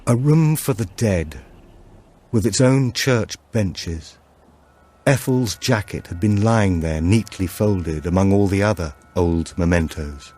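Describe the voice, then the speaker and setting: A man reading a supernatural thriller as an audiobook narrator, in a measured, deliberate storytelling manner. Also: low-pitched, lively intonation, dark tone, breathy edge.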